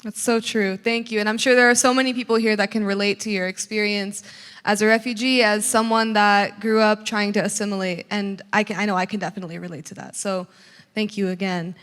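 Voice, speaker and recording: A woman's voice: mid-range voice, level tone, brisk, fairly bright tone, clean tone. A young woman, a student interviewer, asking prepared questions in an upbeat way. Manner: upbeat, laughs between questions. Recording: long hall reverb.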